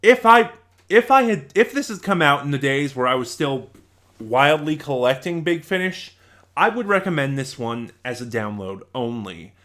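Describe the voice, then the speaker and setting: A man's voice: a little high, expressive, moderate pace, a bit bright. A man giving a casual, unscripted review in a rambling, conversational way, correcting himself as he goes. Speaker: reviewer and channel host.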